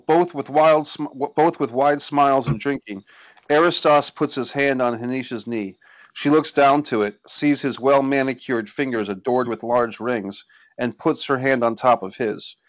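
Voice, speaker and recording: A man's voice: mid-range voice, moderate pace, no room sound. Man narrating screenplay directions, dry sound. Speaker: screenplay reader of stage directions. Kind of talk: scripted narration at a table read. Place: indoor room on a video call. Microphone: video call.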